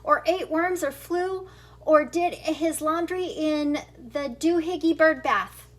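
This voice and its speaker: A woman puts on a strict mother cat in a children's storybook. She scolds in a high voice, drawing out her lecture slowly and stressing her words. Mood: scolding. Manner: emphatic, lecturing.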